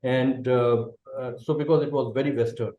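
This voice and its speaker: A man's voice: mid-range voice, fairly even intonation, normal speed. Indian man, echoey and muffled. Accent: Indian English. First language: Bengali. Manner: thoughtful, checks in with a frequent "okay". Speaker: guest, company managing director. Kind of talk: reflective interview answers.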